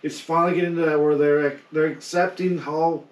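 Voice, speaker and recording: A man with a slightly high voice talks casually as an enthusiastic fan on a panel podcast. The sound is very roomy, with the microphone some way off. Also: flat delivery, a little fast. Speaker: podcast co-host. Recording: roomy echo, distant microphone.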